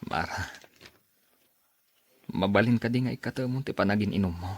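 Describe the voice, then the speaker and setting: Low, husky, patient suitor. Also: male voice, moderate pace. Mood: pleading. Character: kind, patient young man advising the woman he loves. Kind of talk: radio drama acting in dialogue.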